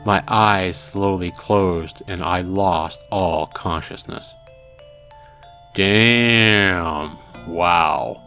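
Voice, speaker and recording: A man hosting a let's play, reading a visual novel aloud in a dramatic way and adding hushed asides. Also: low-pitched, extremely slow. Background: game music.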